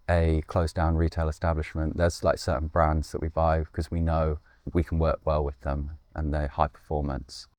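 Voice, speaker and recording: Relaxed deep-voiced man. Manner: relaxed, loose and playful, with occasional swearing. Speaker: speaker designer and co-founder. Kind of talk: casual interview talk.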